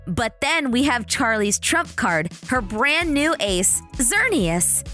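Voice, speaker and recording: Bright high-voiced woman narrating dramatically. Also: slow pace, soft background music. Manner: dramatic, animated storytelling delivery. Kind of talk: scripted video narration.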